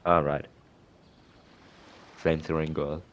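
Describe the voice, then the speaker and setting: A young man giving casual, sarcastic game commentary, halting and repeating his words. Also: extremely low pitch, little pitch movement, lo-fi sound, noisy background. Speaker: gamer recording a playthrough. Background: faint game music.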